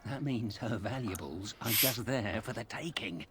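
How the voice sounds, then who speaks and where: An actor playing a chatty, sly ex-burglar turned fence in a video game, talking in colloquial patter. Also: male voice, on the low side, expressive, normal speed, noisy background, natural voice. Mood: conspiratorial. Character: cheeky roguish male thieves' fence, ex-burglar with a conscience. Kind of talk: video game character dialogue.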